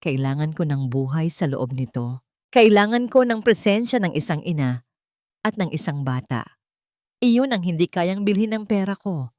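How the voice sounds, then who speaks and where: A woman's voice: on the low side, lively intonation, moderate pace, darkish tone, no room sound, clean studio sound, lightly acted. A woman with a Filipino accent voicing a cold, brooding rich man in a romance story, blunt and businesslike. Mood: grave, direct.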